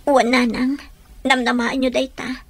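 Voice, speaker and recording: A woman's voice: high voice, flat delivery, unhurried, dry recording. A woman with an Ilocano accent playing an anxious, fretful wife and mother in a radio drama. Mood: troubled.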